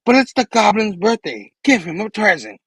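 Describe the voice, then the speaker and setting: A taunting goblin supervillain out of a comic book, acted out by a man. The voice leaps up high and swings about theatrically, gleeful and mocking, in a close, dry sound. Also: sing-song, moderate pace, fairly bright tone, slightly rough, no echo, full character voice.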